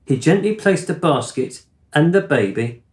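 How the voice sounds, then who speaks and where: A man acts a gentle middle-aged father in a radio drama. His voice sits fairly high and moves in very varied swoops, wistful and a little absent-minded. The sound is roomy and echoing. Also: animated, normal speed, natural voice. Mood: dramatic storytelling. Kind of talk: radio play acting.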